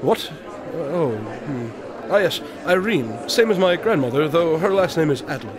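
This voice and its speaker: Radio drama detective, played by a man: unflappable, eccentric, fairly high voice, formal and exact, busy crowd ambience. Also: lively intonation, measured pace. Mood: absent-minded. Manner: precise, formal, absent-minded. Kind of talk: audio drama dialogue.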